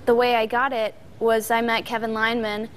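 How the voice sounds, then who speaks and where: Playful young woman, fairly even pitch. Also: normal speed, a little hiss or hum. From born in Tokyo, moved to America as a child. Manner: playful, joking. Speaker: musician guest. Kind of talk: casual interview chat. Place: television studio.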